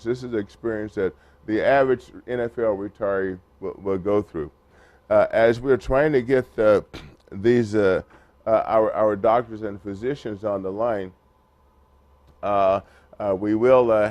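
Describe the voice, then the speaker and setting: Low-voiced man at a press conference. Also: a little mellow, dry recording. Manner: halting, many fillers and restarts. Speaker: press conference speaker for retired players group. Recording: muffled lo-fi recording.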